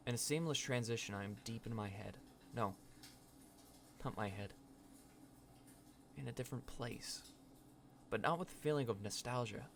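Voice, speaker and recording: A man playing a young podcaster narrating a dreamy, brooding story reflectively, with deliberate dramatic pauses. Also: mid-range voice, a bit bright, slight background noise, close to an everyday voice. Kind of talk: scripted first-person narration.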